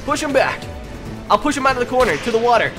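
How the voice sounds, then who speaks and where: A man playing a video game with excitable, exclamatory roleplay chatter. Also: extremely high pitch, quick pace, over music. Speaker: gaming video player in roleplay.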